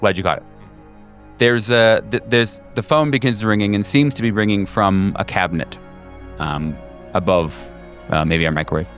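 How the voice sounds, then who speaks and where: Low-voiced man narrating a tabletop game. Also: fairly bright tone. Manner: halting, descriptive, thinking aloud. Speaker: game master. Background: background music.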